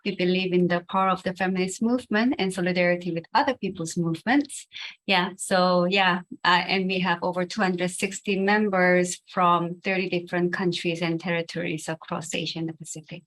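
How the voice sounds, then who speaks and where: A woman's voice: on the low side, steady tone, fairly quick, fairly bright tone. Woman panelist, friendly, short self-introduction. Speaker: panelist from a feminist network.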